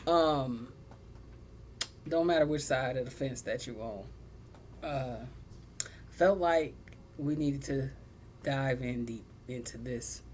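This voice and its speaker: A young adult woman hosting a casual sibling podcast, blunt and animated, cussing freely and laughing often. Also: extremely low pitch, slow pace, long pauses, a little hiss or hum. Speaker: podcast host.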